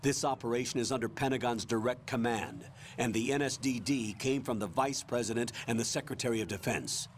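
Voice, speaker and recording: An actor playing a stern military colonel in a video game, giving briefings in an authoritative, measured, matter-of-fact way. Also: male voice, medium pitch, a little hiss or hum, natural voice. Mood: briefing, firm. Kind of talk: dramatic video game voice acting.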